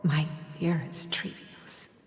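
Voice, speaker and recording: A woman's voice: slow pace, lightly acted. A woman voicing a solemn, tender, otherworldly mother in a video game, speaking with grave ceremony. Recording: echoing long reverb, music clearly behind.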